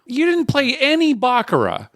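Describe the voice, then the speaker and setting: A man's voice: extremely high pitch, huge pitch swings, normal speed, fairly bright tone, no echo, studio quality. A man hosting a podcast, talking casually and conversationally, with an explanatory manner and short asides. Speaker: podcast host.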